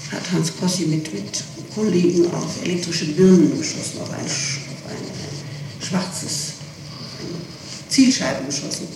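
Middle-aged woman on echoing, noisy old tape. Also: on the low side. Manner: halting, evasive, searching for words. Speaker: witness being questioned. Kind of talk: police interrogation answers. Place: reverberant room, far from microphone. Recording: noisy, echoing 1960s interrogation tape, distant.

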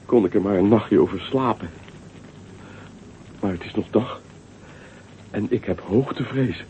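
A man's voice: lowish voice, measured pace, a little mellow, slight background noise, lightly acted. An actor playing a timid young man who is afraid of heights and stammers with fear in a radio play. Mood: worried. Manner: stammering, fearful. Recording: tinny radio recording with faint music.

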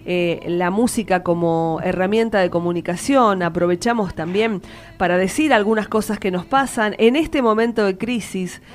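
A woman's voice: medium pitch, normal speed. An Argentine woman hosting a casual, chatty radio interview in an effusive, affectionate manner. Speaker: radio show host. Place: radio studio.

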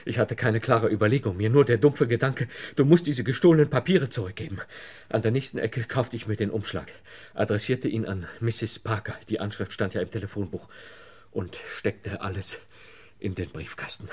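An actor playing a desperate, broken man under police questioning in a radio crime play, halting and pleading. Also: lowish voice, darkish tone, breathy edge. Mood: broken, despairing. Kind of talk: German radio crime play acting, 1950s to 80s.

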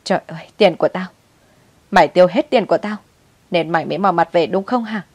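A woman's voice: sits low, fast, no echo. Woman voicing a furious wailing old grandmother. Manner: scolding, shouting, wailing. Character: angry old grandmother, bitter, wailing over stolen money.

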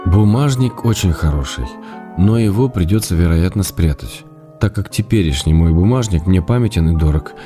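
A man's voice: sits low, animated, moderate pace, warm tone, soft background music. An actor playing an affectionate, wry writer reading his intimate letters to his wife in a radio drama. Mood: gentle, matter-of-fact.

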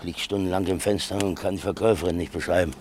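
An actor playing a gruff, blunt, grumbling old working man in a German radio play. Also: sits low, fairly even intonation, quick pace, background noise, close to an everyday voice. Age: older.

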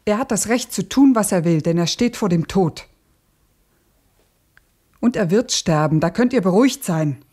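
A bitter, grieving woman revolutionary, played by an actress in a radio play. Her voice is husky and sits at an average pitch, intense and full of sorrow. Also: animated, normal speed, pauses often, natural voice. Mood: bitter, insistent. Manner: intense, sorrowful, sometimes dropping to a hush.

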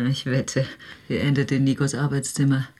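A woman's voice: a bass voice, little pitch movement, moderate pace. Dry, skeptical woman sleuth. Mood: wry probing. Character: skeptical, dry, determined woman investigator who bluffs boldly. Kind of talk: radio drama acting.

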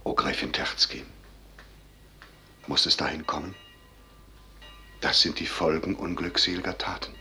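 An actor playing a grave, noble elder general with solemn, weighty declamation in a 1950s German radio drama. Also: male voice, extremely low pitch, a little slow, pauses often, pushed and bright, heavily acted. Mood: sorrowful.

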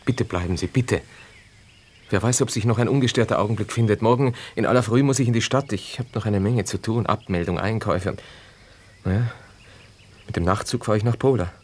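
A man's voice: on the low side, brisk, darkish tone, close to an everyday voice. A man playing an earnest, devoted young officer in a 1970s radio drama, pleading with a woman. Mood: earnest, urgent.

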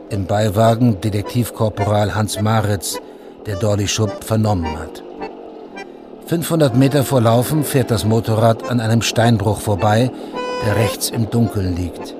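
A man's voice: fairly low pitch, normal speed, over music. A man narrating a scripted radio drama in a sober, factual manner, in short plain statements.

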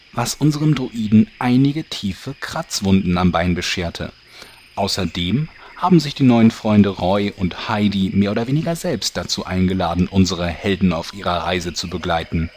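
Guy as low voiced deadpan narrator, formal, comedy audio drama, bit of noise behind. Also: lightly acted. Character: deadpan, officious narrator and director of a parody show.

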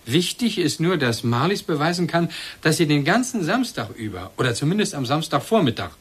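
Radio drama casting: insistent, suspicious husband, lively pitch movement, slightly husky voice. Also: male voice. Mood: measured, uneasy. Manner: deliberate, pressing. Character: suspicious husband, insistent, probing, coldly accusing. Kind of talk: German radio play acting.

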